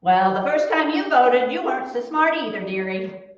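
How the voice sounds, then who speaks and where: A woman playing a sensible, teasing, gently scolding wife in a 1940s radio comedy. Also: a little high, lively intonation, moderate pace, lightly acted. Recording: muffled, echoey room, far from mic.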